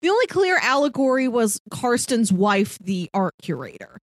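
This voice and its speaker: A woman's voice: a little high, sing-song, moderate pace, no room sound, clean studio sound. A woman co-hosting a podcast, casually discussing a TV series in conversation. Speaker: podcast co-host.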